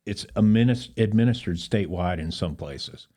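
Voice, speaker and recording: A man speaking as a guest in a conversational interview, explaining things in an earnest, measured way. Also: on the low side, warm tone. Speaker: podcast guest, Rotary club volunteer.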